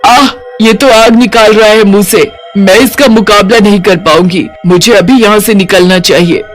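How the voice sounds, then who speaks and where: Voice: mid-range voice, moderate pace, faint music behind, lightly acted. A performer voicing a hungry, blunt, boastful but secretly kind-hearted cartoon witch with brash, colloquial delivery. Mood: alarmed. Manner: brash, taunting, colloquial scolding. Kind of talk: Hindi cartoon character voice.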